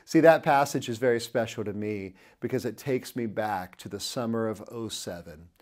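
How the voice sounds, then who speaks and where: A man's voice: on the low side, moderate pace, darkish tone. A man in his thirties preaching a sermon to camera, earnestly telling a personal story while gesturing, recorded in an indoor studio on a lapel mic.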